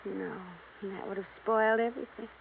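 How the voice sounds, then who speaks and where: An actress playing a wealthy young wife in a 1950s radio drama, polished, poised and imperious. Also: female voice, medium pitch, steady tone, a little fast, gravelly, lightly acted. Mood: poised, coy. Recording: tinny, hissy 1950s radio recording.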